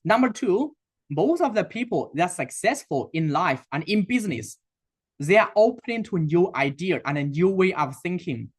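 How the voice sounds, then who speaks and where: A man with a Chinese accent presenting a sales webinar, talking in a halting way with many fillers and restarts. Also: sits high, very animated, slightly rough. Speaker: webinar host and sales coach.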